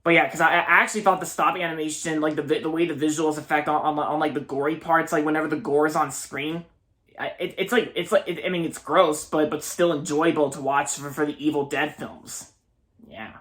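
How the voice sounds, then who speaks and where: A man's voice: fairly high pitch, fairly even intonation, a little fast, fairly bright tone. A man in his twenties giving a casual, enthusiastic movie review, often repeating words and restarting his sentences. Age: 20s. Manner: enthusiastic, gushing.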